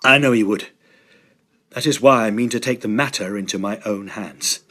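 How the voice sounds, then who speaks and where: A man playing a determined, agitated young gentleman in a Victorian horror drama, speaking earnestly and emphatically in formal phrases. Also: lowish voice, normal speed. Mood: agitated, insistent.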